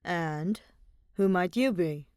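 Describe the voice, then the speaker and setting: A woman's voice: on the low side, slow, darkish tone, clean tone, dry recording, studio quality. A woman playing an authoritative yet curious male general of a royal guard in a storybook tale, with commanding manner. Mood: questioning.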